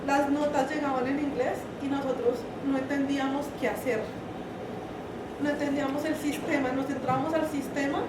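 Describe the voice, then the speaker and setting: A woman from Colombia with a Colombian Spanish accent, speaking haltingly in English and then earnestly in Spanish at a community meeting. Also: high voice, little pitch movement. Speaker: community member. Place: community center room. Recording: echoey room, distant mic, loud background hum.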